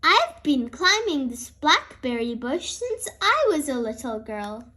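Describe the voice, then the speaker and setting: A woman's voice: sits high, very animated, a little slow, sharp tone, heavily acted. A woman voicing a cheerful, confident mother pig from a storybook, reassuring and upbeat.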